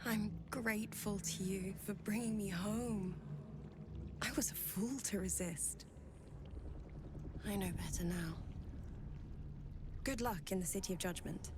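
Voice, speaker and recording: An actress playing a young noblewoman, a general's submissive daughter in a video game, polite and measured. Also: medium pitch, background noise, close to an everyday voice. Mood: resigned, polite. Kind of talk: dramatic video game acting.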